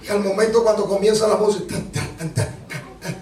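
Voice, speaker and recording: High-voiced Dominican preacher in echoey church. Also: male voice, brisk, faint music behind. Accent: Dominican Spanish. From Dominican Republic. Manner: animated, jokes with the congregation. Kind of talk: lively sermon. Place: church hall. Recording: echoey hall from far off, congregation.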